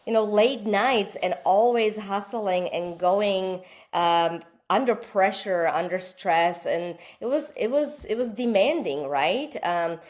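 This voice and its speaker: A woman from Slovakia, a wellness studio founder, speaking as a guest in a casual interview and telling her story earnestly. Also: lowish voice.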